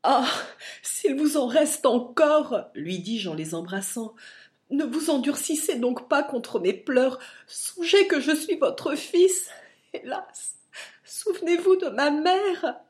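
A woman voicing a young man who pleads in despair with his father, tearful and high-strung in an audiobook reading. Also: animated, normal speed, a little mellow, lightly acted. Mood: tearful pleading.

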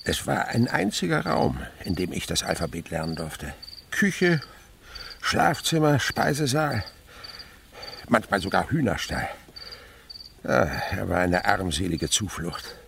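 A man's voice: fairly low pitch, big pitch swings, measured pace, vocal fry, long pauses, noisy background, exaggerated voice. An actor playing an elderly insect researcher, an eager and wistful uncle, in a German radio drama, dramatic and savouring his words. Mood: nostalgic, animated. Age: older.

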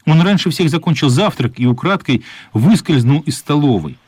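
A man narrating a children's radio play as a wry storyteller with a husky voice. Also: fairly high pitch, animated, moderate pace, darkish tone, no echo, studio quality. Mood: storytelling.

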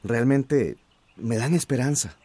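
An actor in a radio drama playing a weary but hopeful village man, halting and emotional. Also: medium pitch, warm tone, no room sound. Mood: wistful, hopeful.

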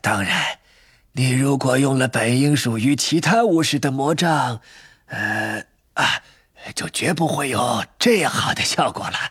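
A man voicing an eccentric old wandmaker in a storybook, with a breathy, husky voice and theatrical, drawn-out delivery. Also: medium pitch, fairly bright tone, no room sound, studio quality. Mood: eager, explaining. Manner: slow, drawn-out, swooping delivery with muttered asides.

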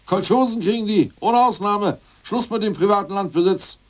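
A man playing a crude, scheming ousted party functionary in a radio play, boastful and plotting, muttering one moment and loud the next. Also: sits high, moderate pace, distant mic, lightly acted. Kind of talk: 1980s radio play acting.

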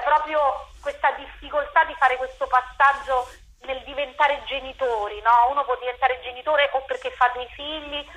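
Casting: woman, theatre director being interviewed, bright and slightly high voice, thoughtful with repeated words. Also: rough recording. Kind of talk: radio interview, explaining own work.

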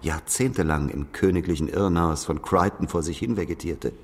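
A man's voice: sits low, brisk. An actor playing a cold, mocking male interrogator in a German radio drama, sardonic and cutting. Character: cold, mocking, menacing male interrogator needling an old man. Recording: long reverb, faint background noise.

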